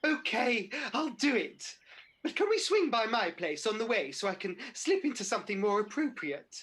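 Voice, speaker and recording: A woman's voice: on the high side, sing-song, normal speed, breathy edge. A woman playing Mother Goose as a fussy, plaintive, vain and sweet pantomime dame in a radio panto. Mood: eager. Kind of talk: British radio pantomime acting.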